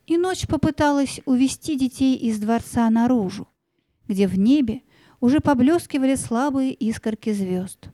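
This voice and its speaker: A woman's voice: sits high, warm tone, no room sound. A woman narrating a scripted fairy-tale audio play as a storyteller, with music behind her.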